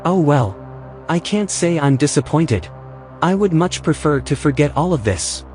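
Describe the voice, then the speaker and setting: A fussy, anxious male AI speaks in a fairly high register with music underneath. The delivery is sardonic and put-upon, in the manner of sci-fi audio drama. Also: lively intonation, measured pace, darkish tone. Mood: wary. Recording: music behind the voice.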